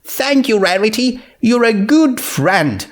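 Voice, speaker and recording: A meek, bookish young unicorn mare, played by a male narrator in a story. The voice is high and slow, hesitant and apologetic throughout. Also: sing-song, exaggerated voice. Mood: grateful, subdued. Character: anxious, guilt-ridden young female unicorn, bookish and meek.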